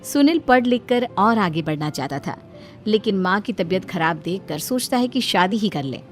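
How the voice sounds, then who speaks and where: A woman giving scripted narration for an animated moral bedtime story. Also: mid-range voice, moderate pace. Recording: music behind the voice. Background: background music.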